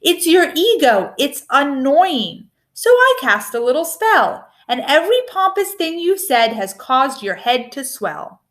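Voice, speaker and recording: A woman's voice: high voice, big pitch swings, a little slow, hardly any pauses, fairly bright tone, exaggerated voice. A woman voicing a young storybook maiden and sorceress, blunt and scolding, unimpressed by those above her. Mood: exasperated.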